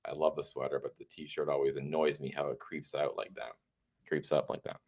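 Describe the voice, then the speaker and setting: Brief: man in his 30s, livestream host, stuffy and congested, deep voice, rambling opinions. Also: flat delivery, brisk, darkish tone, slightly rough. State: congested and a little tired. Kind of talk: casual solo livestream monologue.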